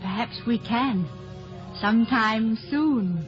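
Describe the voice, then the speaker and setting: Sweet teasing lady in love, high gentle voice, old muffled radio play. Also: takes it slowly, soft background music. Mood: tender, wistful. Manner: refined, gentle, composed. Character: tender, gently teasing stage actress in love. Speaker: actress in a radio drama. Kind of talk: 1950s radio acting.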